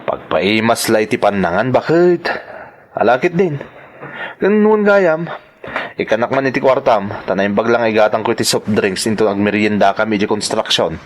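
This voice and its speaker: A man's voice: fairly low pitch, animated, moderate pace, close to an everyday voice. A man acting a stubborn working-class husband in an audio drama, with an Ilocano accent and a whining, cajoling manner. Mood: cajoling, complaining. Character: stubborn working-class husband, a construction laborer fond of soft drinks.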